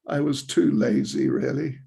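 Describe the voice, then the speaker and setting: An older man in his seventies from New Zealand speaks slowly in a relaxed, rambling way, giving a spiritual class with self-deprecating asides. His voice has a gravelly edge, and he takes his time between phrases. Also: fairly high pitch. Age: 70s. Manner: slow, rambling, self-deprecating with light laughs. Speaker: visiting swami giving a class.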